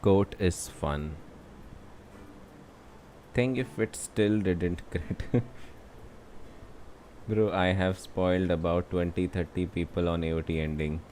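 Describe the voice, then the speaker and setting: A man's voice: low voice, slow, pauses often, a little mellow, slight background noise. A young man with an Indian accent chatting casually and commenting as he livestreams a game. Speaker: game streamer. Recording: voice over game music.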